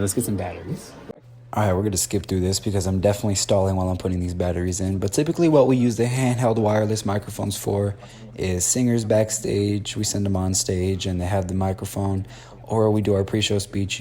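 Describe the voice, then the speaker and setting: A young man in his late teens with a deep voice, casually explaining sound equipment in a theater booth. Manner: relaxed, chatty explaining. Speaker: student sound engineer.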